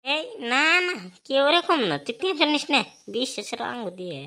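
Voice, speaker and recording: Woman doing an excitable schoolboy sidekick, cartoon style, bright tense voice, grumbles a lot, rural bangladeshi bengali accent. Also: sits high, a little fast, slight background noise, heavily acted. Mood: eager. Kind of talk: comedy cartoon dialogue.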